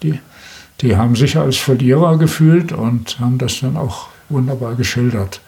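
Older male interviewee, average pitch, veteran radio maker, reflective and explanatory in conversation. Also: steady tone, moderate pace, vocal fry, darkish tone.

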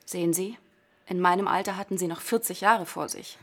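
Wistful young woman, gravelly fry. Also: on the low side, fairly quick. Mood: wistful, bitter. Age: 20s. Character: wistful, blunt young woman of twenty-three facing death. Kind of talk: naturalistic radio play acting.

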